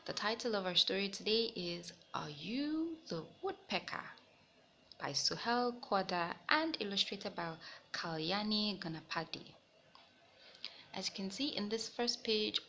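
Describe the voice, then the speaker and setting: Woman reading a kids picture book, takes her time, really lively pitch, faint noise behind. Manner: expressive, addressing young listeners. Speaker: storytelling host. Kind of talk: children's picture-book read-aloud.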